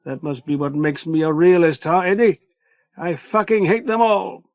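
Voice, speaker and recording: A man's voice: on the high side, fairly even intonation, moderate pace, vocal fry, dry recording, dull audio, voice pushed up. A man voicing a wild young man who screams crude taunts over a prison wall, furious and nearly incoherent. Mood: bitter.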